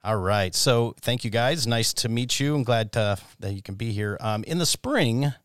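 A man's voice: medium pitch, expressive, moderate pace, clean tone, no room sound, studio quality. A middle-aged man hosting a church podcast, introducing topics and putting questions to his guests. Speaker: podcast host and lay church elder.

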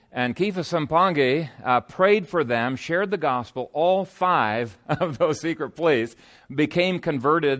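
Man, pastor, slightly high voice, expository sermon, earnest, emphatic, rhetorical questions. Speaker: pastor preaching.

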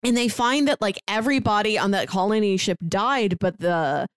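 A woman chatting casually as a podcast co-host, retelling a story in an animated way and acting out quotes. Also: mid-range voice, moderate pace, smooth, no echo, studio quality. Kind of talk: casual chat recapping a manga plot.

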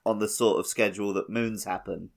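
Male gaming podcast co-host, lower register, conversational and analytical, informal phrasing. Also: steady tone, a little fast, gravelly. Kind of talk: casual gaming podcast chat.

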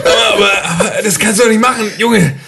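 Man playing an excitable slangy man, higher-pitched, gravelly fry, repeated words, improvised role-play. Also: fast, heavy background noise. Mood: flustered, alarmed. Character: excitable, colloquial man named Rudi, flustered by calls.

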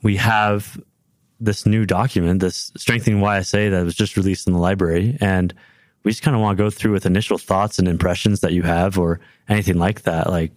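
A man's voice: low voice, flat delivery, fairly quick, darkish tone, no echo. Young man co-hosting a church podcast. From California, USA. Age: young adult. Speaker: podcast co-host. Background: guitar music.

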